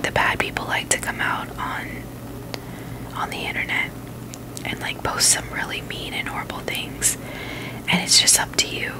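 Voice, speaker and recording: A woman whispering in a breathy, unvoiced voice, chatting casually and haltingly in a gentle ASMR style. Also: low-quality recording. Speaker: ASMR video host.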